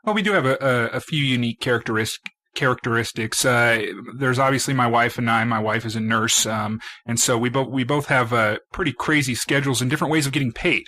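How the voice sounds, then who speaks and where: Bright-voiced man, halting interview guest. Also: mid-range voice, no room sound. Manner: halting, hesitant phrasing. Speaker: show guest, estate attorney. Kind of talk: casual interview chat.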